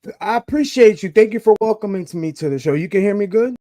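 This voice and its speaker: Guy in his 40s w/ a high voice, spanish is his first language, calling into a live show, casual and emphatic. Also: lively intonation, quick pace, warm tone. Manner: emphatic, checks in with "right?". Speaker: call-in guest on a live panel show. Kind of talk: casual talk, storytelling.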